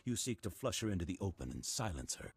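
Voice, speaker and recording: An actor playing a stern, calm old Jedi master in a video game, husky and speaking in a measured, formal, solemn way. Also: male voice, no echo, heavily acted. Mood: stern, knowing. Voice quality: very deep, husky.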